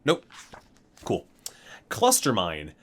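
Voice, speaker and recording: Man reading aloud with lively, swooping pitch. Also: drawn-out words, a little hiss or hum. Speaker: ASMR video creator, gamer. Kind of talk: ASMR reading aloud from a game item book with casual asides.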